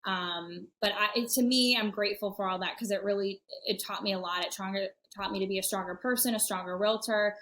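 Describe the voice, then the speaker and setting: A woman's voice: medium pitch, steady tone, fairly quick, hardly any pauses, fairly bright tone, a little hiss or hum. A woman guesting on a real estate podcast, talking casually with fillers and frequent laughs. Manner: chatty, many fillers, laughs often.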